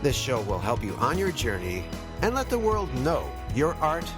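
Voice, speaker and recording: A man's voice: medium pitch, wildly swooping pitch, moderate pace, over music. Middle-aged man hosting a casual podcast. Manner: conversational, talks directly to listeners. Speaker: podcast host. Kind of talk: casual podcast introduction to a guest.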